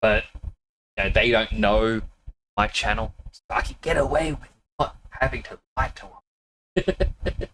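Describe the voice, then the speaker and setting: A man's voice: on the low side, unhurried, long pauses, fairly bright tone, slight background noise, low-quality recording. A man streaming a video game, chatting casually with viewers, laughing often and a little sniffly with a cold. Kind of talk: casual live-stream chat.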